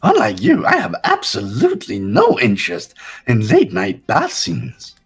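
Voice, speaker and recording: An actor voicing a mischievous, lewd robotic teddy bear from a video game, with a raspy, gravelly voice and a teasing, theatrical manner. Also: mid-range voice, huge pitch swings, a little slow, soft background music, full character voice. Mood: gleeful taunting. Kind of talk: cartoonish video game character acting.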